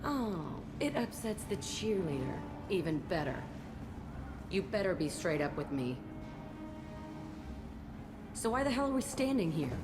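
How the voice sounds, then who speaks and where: Game role: hostile young female convict, lowish voice, blunt and sneering, music underneath. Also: big pitch swings, measured pace, pauses often. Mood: taunting, defiant. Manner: blunt, taunting, sarcastic. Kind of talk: video game voice acting.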